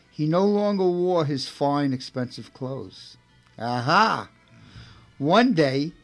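Brooklyn-accented man with a gravelly voice. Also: medium pitch. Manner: chatty, laughs often, repeats and restarts. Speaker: radio show host. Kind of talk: casual radio chat and storytelling.

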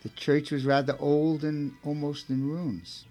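A man's voice: fairly high pitch, little pitch movement, a little slow, warm tone, soft background music. A man with a Brooklyn accent hosting a religious radio show, telling a saint's story in a chatty way that often repeats itself. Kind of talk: casual radio chat and storytelling.

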